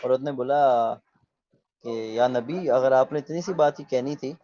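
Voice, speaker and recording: Mellow-voiced man in his thirties on a video call. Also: mid-range voice, a little slow, dry recording. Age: 30s. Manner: relaxed, opinionated, mixes in English phrases. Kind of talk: casual video chat. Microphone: video call mic. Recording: dull lo-fi video call audio.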